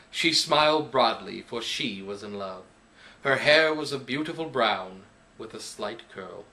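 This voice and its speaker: A man recites poetry as a dramatic narrator. His delivery is very slow and measured, with emphatic pauses. The tone is bright and forceful, at an average male pitch. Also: rough recording. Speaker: poet reading own work.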